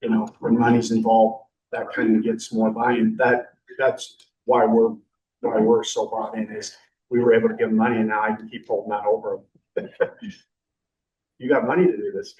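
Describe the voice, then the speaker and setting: A man's voice: lowish voice, level tone, normal speed, muffled recording, a little hiss or hum. Male meeting chair, casual and talkative, heard from a distant mic in an echoey room. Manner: chatty, rambling, with light joking. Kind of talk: council meeting discussion.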